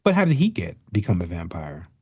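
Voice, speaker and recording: A man's voice: deep voice, wildly swooping pitch, a little fast, a little mellow, slightly rough, no room sound, studio quality. A middle-aged man talking casually and jokingly as part of a panel chat. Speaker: podcast panelist.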